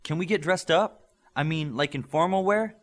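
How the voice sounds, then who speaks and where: A man reading the part of a spirited, stylish teenage girl, raising his voice and speaking in an excited, playful way. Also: fairly high pitch, moderate pace, pitched above the performer's own voice, natural voice. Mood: eager.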